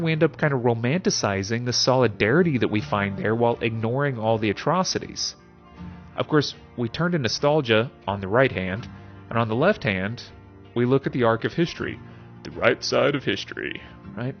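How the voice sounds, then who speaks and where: A man's voice: mid-range voice, dull audio. A man hosting a philosophy show, speaking in a video-essay style with casual asides, wry rhetorical questions and theatrical emphasis.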